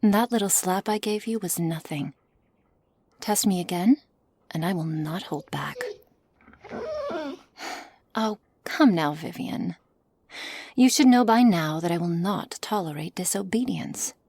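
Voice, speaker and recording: A cold, menacing witch, played by a woman in an ASMR roleplay, slow and measured. Her voice is breathy and often whispery, a little low for a woman. She sounds clinical, strict and condescending, recorded close and dry. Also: a little mellow, no room sound. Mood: menacing, sadistic. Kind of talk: ASMR roleplay, scripted acting to listener.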